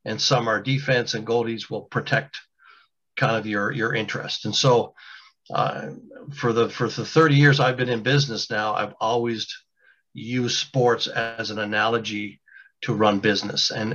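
A man in his fifties tells his business story in a loose, rambling way, full of fillers and restarts. His voice is bright and forceful. The sound is muffled and lo-fi. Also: medium pitch, normal speed. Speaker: business owner guest. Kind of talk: casual interview storytelling.

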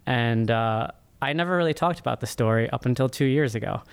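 A man in his thirties with a smooth, clean voice at an average pitch answers as a podcast guest. He sounds earnest and measured, often halting between phrases as he tells his own story. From born in Egypt, immigrated as a small child. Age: 30s. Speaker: podcast guest, first-time author. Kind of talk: interview answers, personal storytelling.

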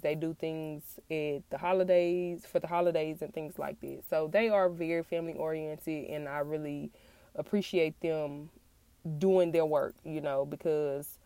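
A woman with a raspy, congested-sounding voice, getting over an illness, talks earnestly and haltingly in a personal monologue to her audience. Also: low voice, a little mellow, no room sound. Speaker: podcast host and author.

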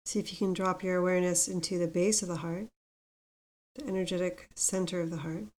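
A woman leads a guided meditation in a lowish voice with very little rise or fall. Her delivery is gentle and unhurried, with space left between the instructions. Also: fairly even intonation. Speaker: meditation guide, podcast host. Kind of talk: guided meditation with mantra chanting.

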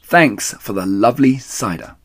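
A man voicing a quick-witted, daring male fox in a children's storybook, speaking in urgent, clipped phrases. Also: mid-range voice, lively intonation, voice pushed up. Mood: cheeky.

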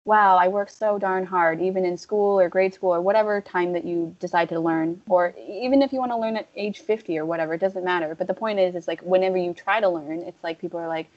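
A young woman talking casually and at length, full of fillers, turning animated when she quotes other people. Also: lowish voice, a little fast, few pauses. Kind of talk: casual conversation. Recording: dull lo-fi audio.

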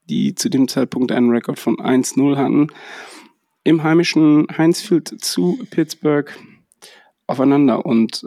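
A man's voice: mid-range voice, warm tone, no room sound. A man with a cold hosting a casual podcast chat, hesitant and full of fillers. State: has a cold. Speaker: podcast host. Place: holiday house.

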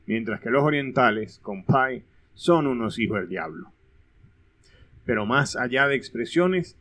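A man's voice: medium pitch, a bit bright. Venezuelan man narrating a language lesson. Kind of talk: scripted educational narration.

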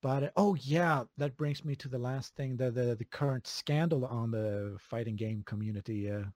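A man from Sweden with a Swedish accent, chatting casually as an interview guest, relaxed, with many fillers and chuckles. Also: medium pitch, moderate pace, no room sound, clean studio sound. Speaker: video game composer, guest.

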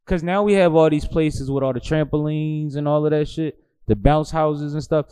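A man, hosting a casual barstool podcast chat, laid-back and swearing freely, with loud laughing asides. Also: a little high, steady tone, moderate pace, clear, resonant tone, dry recording. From Philadelphia. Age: 30s. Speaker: podcast host. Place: small studio.

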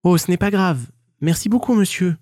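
Man as a high-voiced polite orphan girl. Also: moderate pace, dry recording, studio quality. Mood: grateful. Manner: polite, gentle. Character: polite, humble little orphan beggar girl. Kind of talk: children's storybook dialogue.

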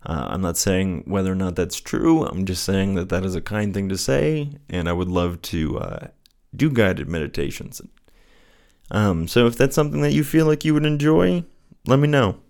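Soothing, low-pitched man. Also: animated, normal speed, vocal fry, a little mellow. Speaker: host of a personal faith show. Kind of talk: casual reflective solo talk with scripture reading.

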